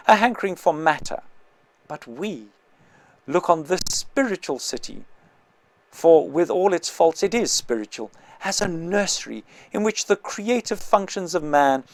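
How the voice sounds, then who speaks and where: A plump, cultured gentleman lectures in a lofty, condescending tone, as a male reader puts him on. His speech rises and falls expressively over a gravelly texture. The sound is dry and close. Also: fairly high pitch, no room sound, pitched above the performer's own voice, heavily acted. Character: fat, refined, pompous man lecturing.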